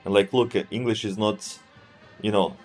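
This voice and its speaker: A man with a Serbian accent, a streamer thinking aloud as he plays a strategy game in a relaxed, halting way and answering chat. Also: on the low side, soft background music. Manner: relaxed, thinking aloud, often trails off and restarts. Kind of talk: live gameplay commentary.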